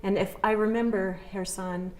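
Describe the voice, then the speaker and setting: A woman's voice: medium pitch, steady tone, moderate pace, darkish tone, low-quality recording. A woman hosting a local television interview, asking questions in a sympathetic, encouraging way. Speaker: interviewer host. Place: school, indoors.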